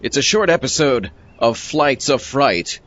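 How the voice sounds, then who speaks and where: A man's voice: mid-range voice, animated, measured pace, fairly bright tone, no echo. A man playing a nervous, babbling young astronaut sidekick in a comic radio sci-fi drama. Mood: announcing. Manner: babbling, jumpy. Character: excitable, nervous boyish male sidekick astronaut. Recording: dull lo-fi audio with music bed.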